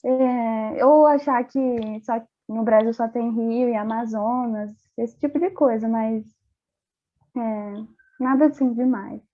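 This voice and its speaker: Young Brazilian woman guest, chatty, laughs a lot, high voice, tinny phone-like sound. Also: slow. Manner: chatty, laughs often, many fillers. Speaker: interview guest, former exchange student. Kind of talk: casual interview chat.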